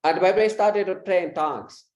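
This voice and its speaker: Woman preacher, low voice, echoey room. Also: darkish tone. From Finland. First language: Finnish. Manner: speaks short phrases, pausing for translation. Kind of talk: teaching through an interpreter.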